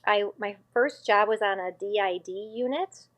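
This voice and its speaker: A woman's voice: medium pitch, moderate pace, fairly bright tone. A woman in her fifties from Connecticut, a school psychologist chatting as a podcast guest, rambling and correcting herself as she goes. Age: 50s. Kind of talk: casual podcast interview.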